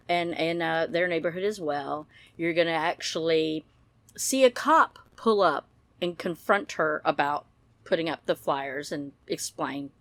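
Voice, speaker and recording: A woman's voice: on the low side, no echo. Rambling woman, close dry sound. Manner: blunt, rambling, mild swearing. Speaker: true-crime YouTube commentator. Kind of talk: casual opinionated commentary.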